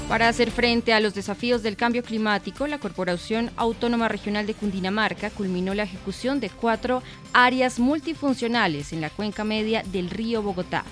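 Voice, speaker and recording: A female Colombian newsreader with a slightly high voice. She reads a scripted radio item, with music behind her. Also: a bit bright. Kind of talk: scripted news reading.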